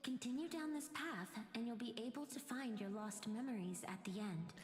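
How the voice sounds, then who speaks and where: An actress playing a composed, courteous wise woman guide in a video game, speaking in a measured, formal manner. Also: on the high side, close to an everyday voice. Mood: calm, assured. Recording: reverberant game audio with faint music.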